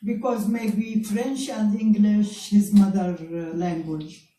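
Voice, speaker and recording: A Palestinian woman in her seventies whose first language is Arabic, speaking careful, halting English as a guest speaker to an audience. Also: mid-range voice, fairly even intonation, warm tone. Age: 70s. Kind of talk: public talk to an audience. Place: event space before an audience. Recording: roomy hall, speaker far from mic.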